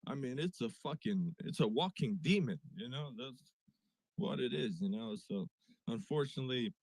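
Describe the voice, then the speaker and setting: A man's voice: medium pitch, huge pitch swings, moderate pace, gravelly, darkish tone, no room sound, poor audio. Playful Russian-accented man. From Siberia. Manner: playful, chatty, swears. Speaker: guest caller. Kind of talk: casual chat on a live audio space.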